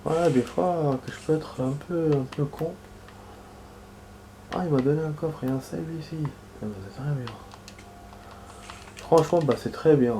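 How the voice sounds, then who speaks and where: A man's voice: on the high side, normal speed, pauses often, mellow, slight background noise, rough recording. Nasal-sounding man with a cold chatting while gaming. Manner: rambling, many fillers and restarts. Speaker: gaming video host. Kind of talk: casual gameplay commentary.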